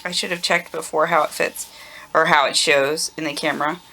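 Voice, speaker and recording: Tired thirtysomething woman chatting about knitting on her camera mic, really low bright-edged voice, kinda groggy, lots of ums. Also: a little fast, a little hiss or hum, low-quality recording. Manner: relaxed and chatty, frequent ums. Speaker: knitting podcast host. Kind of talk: casual video podcast chat. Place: warm room at home. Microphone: camera microphone.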